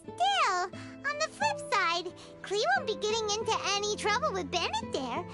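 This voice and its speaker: A woman voicing a tiny, cheeky, chatty fairy-like girl companion in a video game, squeaky and childlike. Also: big pitch swings, moderate pace, over loud music. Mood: chirpy. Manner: chatty, refers to herself by name.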